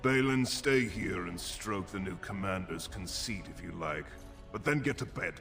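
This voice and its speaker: An actor playing a haughty dwarf prince and scornful elder brother in a fantasy video game, pompous and dismissive. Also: male voice, low-pitched, animated, moderate pace, creaky, music in the background.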